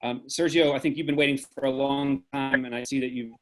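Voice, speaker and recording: A man moderating a discussion over a video call, speaking in a measured, earnest and thoughtful way. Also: mid-range voice, steady tone.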